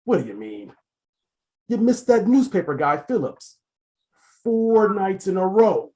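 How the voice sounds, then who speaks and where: A man's voice: high voice, sing-song, measured pace, mellow. A man playing a menacing, impatient gang boss in an old-time radio crime drama, bossy and sarcastic with tough gangster slang. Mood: bossy, exasperated.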